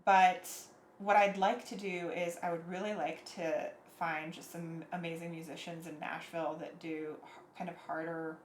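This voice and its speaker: A young woman singer-songwriter, soft-spoken, talking reflectively in a casual interview. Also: fairly low pitch, level tone, normal speed. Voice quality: soft, airy voice. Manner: reflective, thoughtful, with frequent fillers. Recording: echoey room, faint noise.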